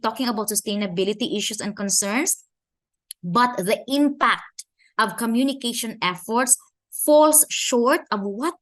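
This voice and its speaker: A woman from the Philippines with a Filipino accent, giving an academic conference talk in a measured way and slowing down to stress key phrases and questions. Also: mid-range voice. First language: Filipino language. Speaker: conference speaker.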